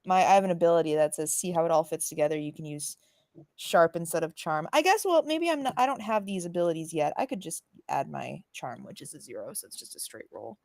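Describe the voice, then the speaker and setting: A woman's voice: on the low side, big pitch swings, brisk, vocal fry, darkish tone, no echo, studio quality. A woman chatting casually as a player in a tabletop role-playing game.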